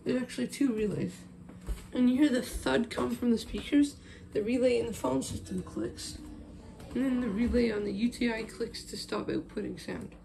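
A woman's voice: a little high, moderate pace, gravelly, mellow, slight background noise, poor audio. A woman talking casually off the cuff as she explains a hands-on tech project, recorded indoors on a handheld phone camera. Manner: informal, explaining while handling gear. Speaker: video host showing a phone system project. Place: small indoor room with wooden floor. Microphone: handheld phone camera, moving around.

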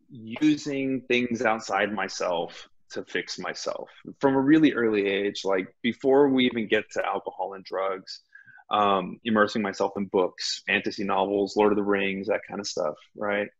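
A man in his thirties from Texas, a guest on a video call from home, telling his story in a relaxed, self-deprecating way. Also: lowish voice, muffled recording. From Laredo, Texas. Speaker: guest, addiction counselor. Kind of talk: personal recovery story. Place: home room.

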